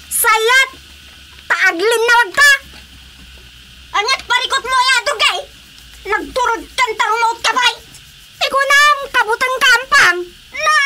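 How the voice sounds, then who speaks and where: A confrontational woman with a grudge in an Ilocano radio comedy drama. Her Ilocano-accented voice is piercingly high and sharp, taunting and indignant, over faint noise. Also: exaggerated voice. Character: Bilya, combative aggrieved woman quarrelling with a rival.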